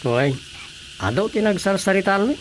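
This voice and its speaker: A man's voice: high-pitched, very animated, moderate pace. Sly, nosy male comic role, Ilocano accent, questioning and teasing, radio drama, slightly noisy recording. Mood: curious. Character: nosy, teasing man needling a village councilor.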